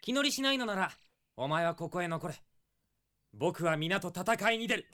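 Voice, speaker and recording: Young heroic prince: high, expressive voice, earnest and resolute, drama CD. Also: male voice, moderate pace. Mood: firm. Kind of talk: Japanese drama CD acting.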